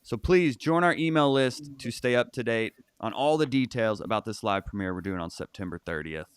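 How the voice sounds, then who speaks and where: A man's voice: mid-range voice, animated, fairly quick, clear, resonant tone, no room sound, studio quality. A man hosting a comedy podcast, introducing his guest with enthusiastic build-up. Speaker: podcast host. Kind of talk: casual podcast chat.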